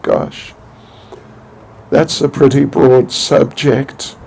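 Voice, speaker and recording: An older man from New Zealand giving an informal spiritual talk, halting and rambling, with many restarts and small chuckles. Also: medium pitch, flat delivery, gravelly, a little mellow, slightly rough. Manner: chuckles to himself. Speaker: spiritual teacher.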